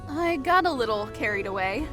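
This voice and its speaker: Casting: actress as easygoing, playful fantasy heroine, slightly high voice, casual confiding storyteller, music underneath. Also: female voice, animated, a little fast, fairly bright tone. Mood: shrugging, dry. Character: Olivara, pregnant woman, playful, sheepish, easygoing. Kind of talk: fantasy audiobook dialogue over music.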